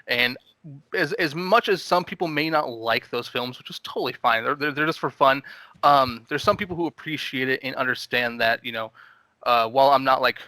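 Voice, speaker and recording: A man in his thirties talks about his filmmaking in a casual group chat, earnest and encouraging, often restarting his sentences. His tone is bright and forward. The recording is dry, with no room sound. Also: mid-range voice, moderate pace, no echo. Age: 30s. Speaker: guest filmmaker.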